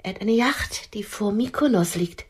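A woman's voice: mid-range voice, moderate pace, breathy edge, close to an everyday voice. An actress in a radio play playing a lonely, boastfully romantic woman with a demanding, theatrical manner. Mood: dreamy, boastful.

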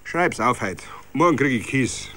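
A man's voice: mid-range voice, expressive, unhurried, a bit bright. A man voicing a cocky, stubborn political zealot with a Bavarian accent, arguing insistently in a tavern. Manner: insistent, self-assured, colloquial.

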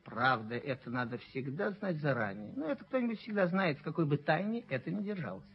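Radio play: shrewd upstart businessman, insinuating, lightly high voice, dull vintage recording. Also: sing-song, a little fast. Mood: sly, knowing. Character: shrewd, wheedling former rent collector turned prosperous speculator. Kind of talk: Soviet radio play acting.

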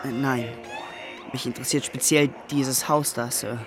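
A man's voice: mid-range voice, steady tone, normal speed, over music, lightly acted. Man acting an earnest, naive young lad. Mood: timid, amazed. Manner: earnest, hesitant, wide-eyed. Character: naive, polite, hard-up young lad, eager and awestruck. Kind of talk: German radio play acting.

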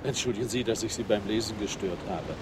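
An actor playing a chatty, friendly middle-aged American widower on holiday, in a radio play. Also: male voice, fairly low pitch, fast talker, very noisy, natural voice. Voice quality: talkative, friendly, lively. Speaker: hotel guest. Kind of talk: 1980s radio play acting.

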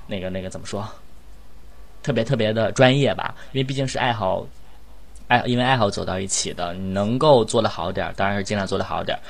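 A man's voice: on the low side, gravelly. A man hosting a casual online group chat, talking in a rambling, self-effacing way. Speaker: host and amateur voice actor.

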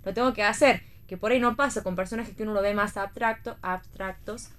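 A woman's voice: medium pitch, fairly quick, a bit bright. A woman with an Argentine accent in a casual radio discussion about theater, thinking aloud, hedging and rephrasing as she goes. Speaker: theater panelist.

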